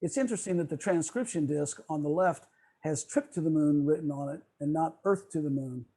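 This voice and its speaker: Casting: male club presenter, relaxed dark timbre, slightly high pitch, semi-scripted talk on a video call. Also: a little fast. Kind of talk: presentation, partly scripted narration.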